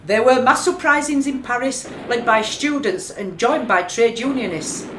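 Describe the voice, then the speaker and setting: A woman's voice: on the high side, moderate pace, fairly bright tone, lightly acted. A stern female university lecturer, played by an actress, formal and admonishing in a radio play. The sound is roomy, with faint noise behind her. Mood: exasperated. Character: stern, exasperated woman university social work lecturer. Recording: roomy amateur recording, faint background noise.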